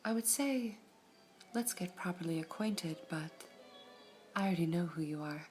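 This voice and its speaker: A woman voicing a serene all-seeing female gem in an ASMR roleplay, whispering softly in a measured, formal way. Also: lowish voice, faint music behind, lightly acted. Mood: calm whispering.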